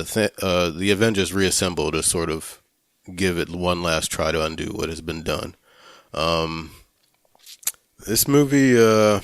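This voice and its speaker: A man hosting a casual film podcast, talking in a halting way, searching for words and swearing freely. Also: deep voice, no echo, studio quality. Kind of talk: casual movie review chat.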